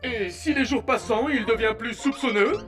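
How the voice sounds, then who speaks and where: High-voiced, fretful royal guard. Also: male voice, moderate pace, a bit bright, soft background music. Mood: anxious. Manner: nervous, spiralling worry. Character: anxious, fretful male royal guard, loyal. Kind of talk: French animated film dubbing.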